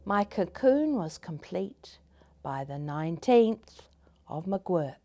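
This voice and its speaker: A woman voicing a hardworking female butterfly in a children's storybook, calm, measured and matter-of-fact. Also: fairly low pitch, full character voice. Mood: calm, satisfied.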